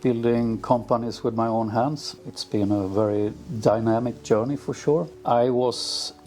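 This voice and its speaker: A middle-aged man who grew up in Sweden, answering interview questions in an unhurried way with many fillers and restarts. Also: medium pitch, steady tone, a little mellow, a little hiss or hum. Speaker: villa owner. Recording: music playing underneath.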